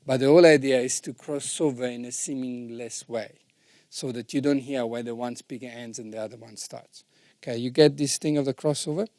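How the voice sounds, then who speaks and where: A man's voice: medium pitch, dark tone, no room sound, studio quality. A man giving an informal technical lesson as an instructor, explaining step by step and often checking that the listener follows. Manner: didactic, frequently checks understanding. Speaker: sound engineering instructor.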